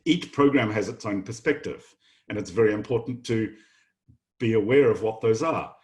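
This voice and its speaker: A middle-aged man from New Zealand, a professor, giving a measured, earnest welcome address to new students in an online session. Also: medium pitch. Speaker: professor and incoming programme director. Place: home office, online session.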